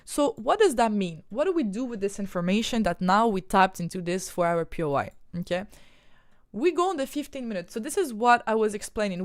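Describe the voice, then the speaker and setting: A woman's voice: medium pitch, clear, resonant tone, dry recording, studio quality. A young woman from Ukraine with a mixed French and Ukrainian accent, explaining trading charts on a live stream and answering viewers' questions. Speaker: trading educator.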